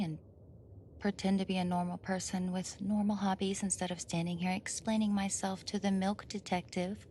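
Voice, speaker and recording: A woman's voice: medium pitch, mellow, a little hiss or hum, natural voice. A woman acting a flustered, defensive young roommate caught off guard in an ASMR roleplay, stammering, indignant and evasive. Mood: flustered, explaining. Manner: stammering, indignant, evasive, sighs and nervous laughs.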